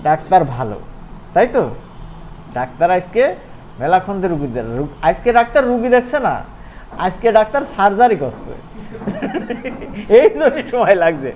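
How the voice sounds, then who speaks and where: A man with a regional Bangladeshi Bengali accent giving an informal software tutorial, conversational and often checking that his students follow. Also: high voice, sing-song, normal speed, pauses often. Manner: conversational, often asks check-back questions. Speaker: animation course instructor. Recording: noisy room, clearly audible background noise.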